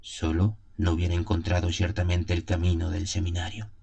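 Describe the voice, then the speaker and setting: Deep, dark-voiced man narrating gothic horror. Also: little pitch movement, moderate pace, creaky. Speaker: narrator of an audio horror story. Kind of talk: dramatic scripted first-person narration of a gothic tale. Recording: music behind the voice. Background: music.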